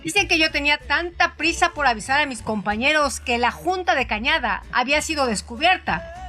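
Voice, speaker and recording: A woman narrating a literary audio story in a dramatized radio storytelling style, with music behind her. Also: high-pitched. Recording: soft music under the voice.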